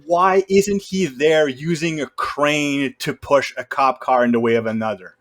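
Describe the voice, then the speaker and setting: A man's voice: on the high side, unhurried, a bit bright. A man giving a casual, opinionated film critique, arguing his points emphatically. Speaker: film podcast co-host or guest.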